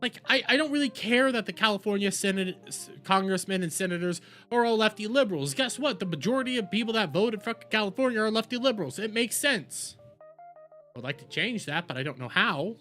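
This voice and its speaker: Bright-voiced man ranting on a livestream. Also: high voice, lively intonation, soft background music. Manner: exasperated, halting with repeated words; casual swearing. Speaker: streamer, host. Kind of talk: casual livestream political rant.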